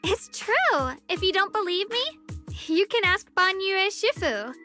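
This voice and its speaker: A woman's voice: very high voice, lively intonation, measured pace, sharp tone, faint music behind, heavily acted. A woman voicing an earnest, polite young swordswoman disciple in a video game, with a reassuring manner.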